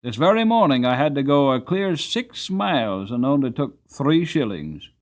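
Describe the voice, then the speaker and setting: A man's voice: mid-range voice, big pitch swings, moderate pace, voice pushed up, natural voice. A man voicing a shabby, desperate London cab driver in an audiobook, complaining in an impassioned, emphatic way. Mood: desperate, aggrieved. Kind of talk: dramatic audiobook character reading.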